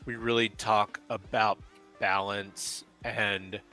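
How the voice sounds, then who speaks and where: A man from Dallas speaking as a guest in a relaxed interview, halting and restarting his sentences. His voice is low yet bright and forceful. Faint music plays underneath. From Dallas, Texas. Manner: hesitant, many fillers and restarts. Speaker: guest, business owner. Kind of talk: casual interview chat.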